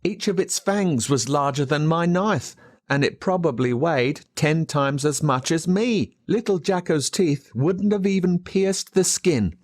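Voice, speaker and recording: An Australian man reading a story aloud as a dramatic narrator, expressive and measured with telling pauses. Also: fairly high pitch, no room sound, clean studio sound. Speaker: audiobook narrator. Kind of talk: dramatic scripted storytelling in first person.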